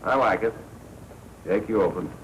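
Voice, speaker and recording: An American actor playing a smooth-talking racketeer boss in a 1940s noir film, deliberate and falsely cordial. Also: male voice, lowish voice, natural voice, low-quality recording. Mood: cool, needling. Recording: hissy 1940s film soundtrack.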